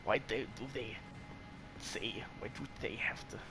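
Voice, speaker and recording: A man's voice: lowish voice, steady tone, a little slow, background noise. A man with a German accent chatting casually to his viewers while he plays, swearing when the game frustrates him. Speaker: streamer. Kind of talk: casual gameplay commentary to chat.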